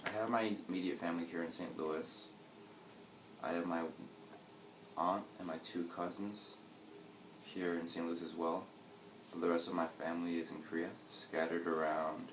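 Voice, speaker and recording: A man in his twenties, from the United States, answering interview questions in a casual, reflective way with pauses and fillers. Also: deep voice, flat delivery, reverberant, background noise. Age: 20s. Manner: reflective, hesitant, with fillers and restarts.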